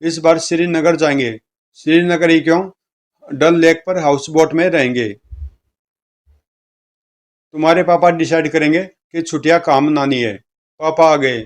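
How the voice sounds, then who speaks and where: A man's voice: on the high side, steady tone, moderate pace, long pauses. A man reading comic strips aloud as a story narrator, speaking the dialogue of each character. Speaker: comic book reader, narrator.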